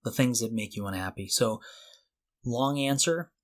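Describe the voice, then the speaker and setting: A man's voice: medium pitch, normal speed. A man in his forties co-hosting a podcast in a casual, conversational way, thinking aloud in loose, hesitant phrases. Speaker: podcast co-host.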